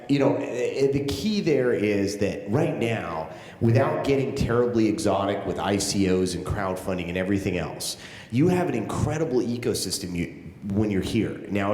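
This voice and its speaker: A man's voice: fairly low pitch, a little mellow. A man speaking on a startup panel, blunt and emphatic, leaning on lots of "you know" fillers. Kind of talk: panel discussion. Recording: echoey hall, long reverb.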